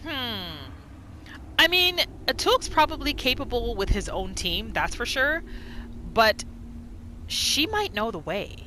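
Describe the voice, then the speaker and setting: Bright, lively woman gamer. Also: fairly high pitch, slow, slight background noise. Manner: expressive, exclaiming. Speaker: gaming video host. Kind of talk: casual reactive gameplay commentary.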